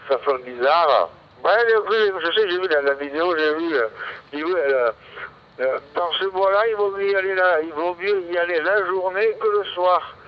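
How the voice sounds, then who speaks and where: A man's voice: high-pitched, moderate pace. A man chatting casually over amateur radio, rambling and repeating himself as he goes. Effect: voice heard over radio. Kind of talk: casual radio contact chat. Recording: dull radio link, faint noise.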